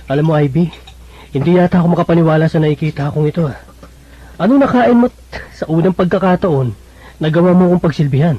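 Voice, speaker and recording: A man's voice: on the high side, quick pace, husky, no room sound. A man playing a forgiving, contented Filipino husband in a radio drama, heard on a dull radio playback. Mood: surprised. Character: forgiving, contented husband, surprised by his wife.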